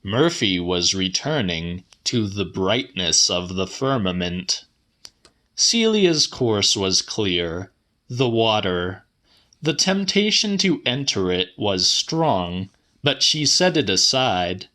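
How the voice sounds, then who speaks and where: A man reading a literary audiobook in a theatrical, deliberate way, with some drama in the narration. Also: fairly low pitch, very animated, sharp tone. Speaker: audiobook reader.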